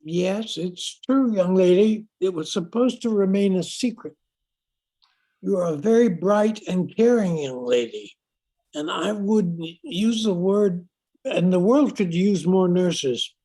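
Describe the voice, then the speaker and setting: Slow, husky, creaky old gentleman, played by a man. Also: sits high, rough recording. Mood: kindly, firm. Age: older. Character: proper, old-fashioned older gentleman, stern uncle. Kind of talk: amateur old-time radio drama acting.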